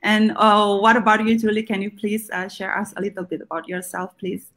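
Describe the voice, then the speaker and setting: A woman hosting a live video talk, speaking casually with many pauses and fillers. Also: mid-range voice, level tone, fairly bright tone. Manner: hesitant, many ums and uhs. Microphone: video call.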